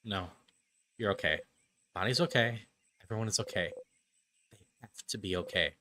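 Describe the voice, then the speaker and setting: A man's voice: fairly low pitch, normal speed, pushed and bright, a little hiss or hum, pitched below the performer's own voice. A man playing a panicked young traveler trapped in time loops, pleading and repeating words obsessively in a dramatic video game voice-over. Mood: anxious self-soothing.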